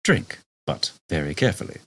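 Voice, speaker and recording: A man's voice: a little fast, a bit bright. A man reading a comic novel aloud as a scripted storybook narration. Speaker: audiobook narrator.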